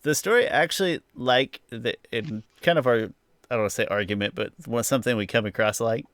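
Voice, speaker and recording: A man's voice: medium pitch, brisk, fairly bright tone, no room sound. A man hosting a podcast, chatting casually through a review in an informal, self-deprecating way and thinking aloud. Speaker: podcast host.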